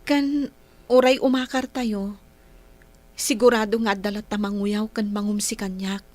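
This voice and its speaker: A woman's voice: medium pitch, fairly even intonation, a little slow, a little mellow, close to an everyday voice. A woman playing a hurt but patient schoolgirl in a radio drama, speaking earnestly and plaintively.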